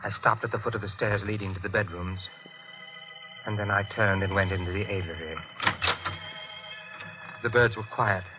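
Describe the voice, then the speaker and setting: An actor playing a nervous, brooding, secretive husband in 1940s radio style, speaking in a hesitant, measured, confessional way. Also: male voice, lowish voice, fairly even intonation, natural voice. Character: nervous, brooding older husband, secretive bird keeper with murderous past. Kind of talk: 1940s radio acting, first-person narration. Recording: muffled old radio, loud music behind.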